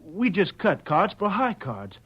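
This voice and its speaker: A man playing a frightened, guilty fifteen-year-old frontier boy in an old radio western, stammering and apologetic, with a husky voice. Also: sits high, huge pitch swings, unhurried, exaggerated voice. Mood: sheepish, defensive. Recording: dull vintage radio recording.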